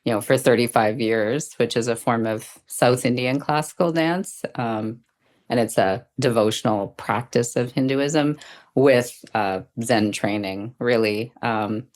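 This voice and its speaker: A middle-aged woman speaking as an interview guest, thoughtful and reflective, often pausing as she explains her practice. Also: very deep voice, a little mellow. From Sri Lanka. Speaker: guest, dance teacher and Zen practitioner.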